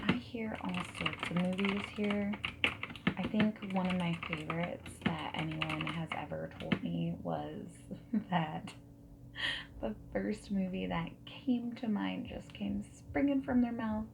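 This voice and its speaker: Woman as friendly matchmaking interviewer for ASMR roleplay: soft, whispery, creaky voice, gentle questions, audible background noise and typing. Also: medium pitch. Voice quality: breathy, often slipping into whisper. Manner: gentle, unhurried, reassuring, asking questions. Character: friendly, nonjudgmental dating service interviewer.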